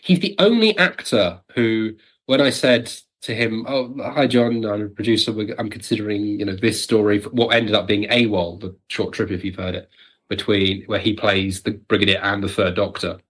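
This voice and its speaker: A man's voice: on the low side, normal speed, fairly bright tone. A man in casual interview conversation as a guest, thinking aloud and hedging as he goes. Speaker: guest, audio drama producer.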